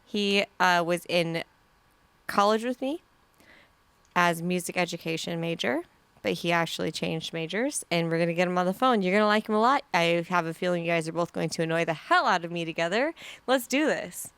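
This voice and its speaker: A woman's voice: fairly low pitch, lively intonation, a little fast, a bit bright, smooth, dry recording. A woman in her thirties from Nebraska, hosting a casual podcast chat, giggly and full of fillers. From Nebraska, USA. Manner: giggly, chatty, many fillers. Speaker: podcast host.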